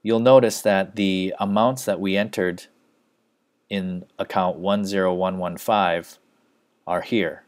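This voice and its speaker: A man narrating an instructional screencast as a software trainer, explaining each step in a measured way. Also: sits low, steady tone, dry recording.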